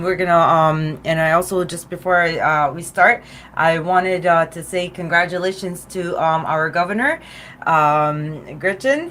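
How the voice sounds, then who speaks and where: A woman's voice: on the low side, a bit bright. A woman hosting a casual interview, chatty and loose, with many fillers. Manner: chatty, lots of fillers and laughs. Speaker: host.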